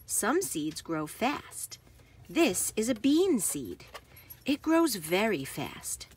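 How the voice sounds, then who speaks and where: A woman reading a children's book aloud with careful, expressive phrasing for young listeners. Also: on the high side, breathy edge.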